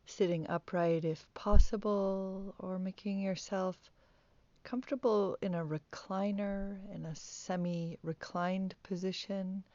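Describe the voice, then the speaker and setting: A woman teaching guided meditation, speaking softly with calm, gentle instructions and long pauses. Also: mid-range voice, no echo, lo-fi sound.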